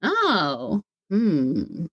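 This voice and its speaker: Casting: female podcast guest, low-pitched, enthusiastic and giggly, casual talk, close dry sound. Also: wildly swooping pitch, drawn-out words, breathy edge, no room sound, lo-fi sound. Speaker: podcast guest, audio drama creator. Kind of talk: casual chat.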